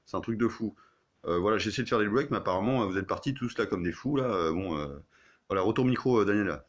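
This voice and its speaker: Man, fairly deep voice, amateur radio operator, casual muttering, blunt, sweary, grumbling. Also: quick pace, muffled recording.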